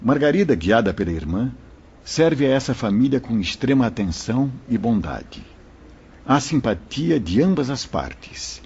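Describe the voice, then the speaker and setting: An actor playing a wise spirit mentor who recounts events to listeners in a solemn, explanatory manner in an audio drama. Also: male voice, mid-range voice, sing-song, a little slow, darkish tone, slightly rough, exaggerated voice. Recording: muffled lo-fi audio.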